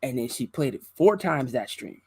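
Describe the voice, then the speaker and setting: A man's voice: mid-range voice, moderate pace, gravelly, husky, no room sound, clean studio sound. A man, a guest musician and streamer, telling a story in casual chat and acting out other people's voices. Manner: animated, acts out other people's voices in quotes.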